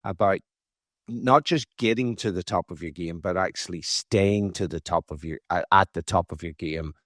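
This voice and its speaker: Low-voiced Irish man hosting a podcast. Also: normal speed, a bit bright, no echo, clean studio sound. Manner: chatty, repeats starts, casual swearing. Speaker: podcast host. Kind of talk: casual interview chat.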